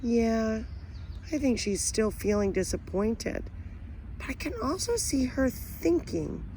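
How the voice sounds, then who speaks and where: A woman teacher reading a children's picture book aloud, expressive and pausing to ask the listener questions. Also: on the high side, slight background noise, low-quality recording. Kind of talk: children's read-aloud story.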